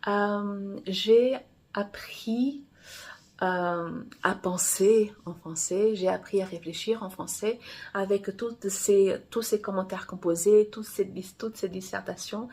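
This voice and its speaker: Author-vlogger from Angola, native Portuguese speaker; gravelly, mid-pitched woman who rambles and self-corrects. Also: fairly even intonation, slow, mellow. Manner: rambling, often restarts and corrects herself. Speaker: romance author. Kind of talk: casual personal monologue.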